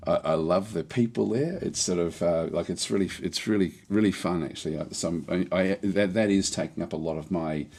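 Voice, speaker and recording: A middle-aged man streaming a game, chatting casually with a slightly hoarse voice that is on the mend, rambling with many restarts. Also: deep voice, darkish tone. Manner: rambling, halting, lots of restarts and fillers. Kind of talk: casual live-stream chat.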